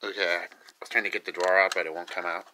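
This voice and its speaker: A man of twenty chatting casually and haltingly in an unscripted show-and-tell, filmed at home on a handheld phone. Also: low voice, little pitch movement. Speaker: video maker showing a collection. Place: small cluttered room at home. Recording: noisy phone recording with clicks.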